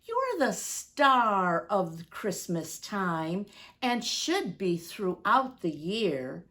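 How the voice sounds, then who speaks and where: A woman's voice: mid-range voice, very animated, drawn-out words, fairly bright tone, full character voice. A woman voicing a humble, devout old Santa in a storybook, praying in a reverent, pleading manner. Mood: earnest, reverent.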